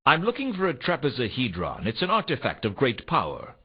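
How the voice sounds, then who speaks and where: An actor playing a world-weary, sardonic private detective in a noir video game, with a wry, deadpan, pressing delivery. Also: male voice, medium pitch, fairly quick, breathy edge. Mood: businesslike. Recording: dull, muffled old console game audio.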